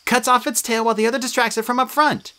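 A woman voicing a brisk, determined teenage girl demigod who speaks decisively. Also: on the high side, fairly even intonation, bright, forceful tone, voice pushed up.

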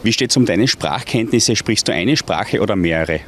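A man's voice: fairly low pitch, lively intonation, moderate pace, fairly bright tone, slight background noise. A man who narrates a regional TV magazine and asks passers-by questions. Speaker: reporter and narrator. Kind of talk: scripted magazine narration and street interviews.

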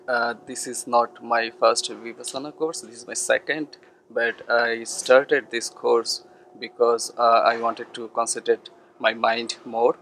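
A man speaks in a measured, very flat voice outdoors. His voice is slightly low, with faint ambience behind him. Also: a little hiss or hum, rough recording. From Bangladesh. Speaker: retreat participant. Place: outdoors on a path between buildings. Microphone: camera at close range.

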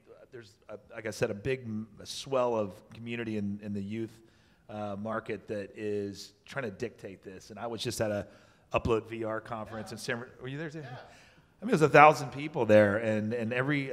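A man on a panel talking casually, rambling and often rephrasing his thoughts. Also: fairly low pitch. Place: indoor event space before a small audience. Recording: reverberant room, panel event.

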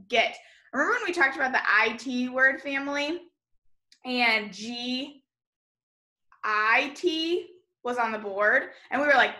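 A young woman teaching small children in a video lesson, animated and encouraging, spelling out and enunciating words as she invites them to repeat. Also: sits high. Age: young adult. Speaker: elementary school teacher. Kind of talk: early-grade video lesson to children, call and response. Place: room in a home, indoors.

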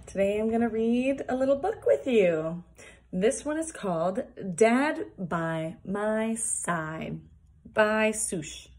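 A young woman reading a picture book aloud for small children, deliberately, with casual asides. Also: mid-range voice, animated, darkish tone. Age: young adult. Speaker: early years worker reading a picture book. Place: small plain indoor room, seated at a desk. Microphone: phone or device propped at arm's length.

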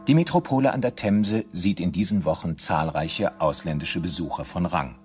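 A man narrating a radio crime play in a scripted, measured and factual manner. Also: low voice, soft background music.

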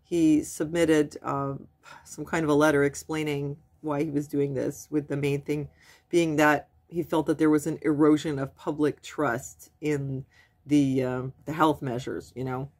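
A woman's voice: low voice, little pitch movement, unhurried, a little mellow. A woman in her forties with a stuffy nose from a cold, chatting casually into her webcam at home as she reads and translates news articles. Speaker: vlogger. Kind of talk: casual commentary reading news aloud. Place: small room at home, indoors. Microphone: webcam at desk.

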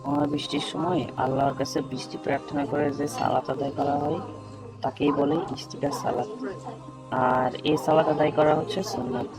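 A man's voice: on the high side, moderate pace, lightly acted, low-quality recording. A young man playing a friendly, earnest student who explains religion to his friend in scripted cartoon dialogue, with music behind. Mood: earnest, instructive.

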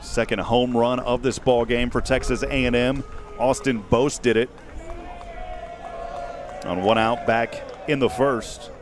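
A man in his thirties calling a baseball game live in short, measured phrases, speaking into a headset mic outdoors. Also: medium pitch, flat delivery, a crowd in the background, background noise. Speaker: radio play-by-play baseball announcer. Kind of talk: live sports commentary. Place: outdoors at a windy ballpark. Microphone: headset boom mic.

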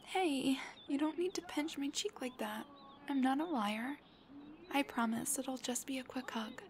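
A woman playing a playful, clingy, affectionate young girlfriend in an intimate ASMR roleplay, soft and breathy, often near a whisper, with a cutesy, pleading way of talking. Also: high-pitched, a little slow. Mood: playful haggling.

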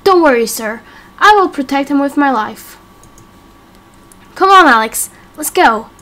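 A woman's voice: high voice, lively intonation, a little slow, sharp tone, heavily acted. A woman voicing a brash, cocky seven-year-old boy in a game roleplay, eager, teasing and boastful. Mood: excited. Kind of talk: amateur game roleplay acting.

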